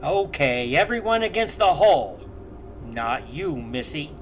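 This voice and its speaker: Over clear rumbling noise, a male actor plays a dim-witted thug in a 1930s thriller. He barks coarse orders with big swings in a fairly high pitch. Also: big pitch swings, slow. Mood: bullying. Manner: coarse, barking slang. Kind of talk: 1930s crime thriller audio drama.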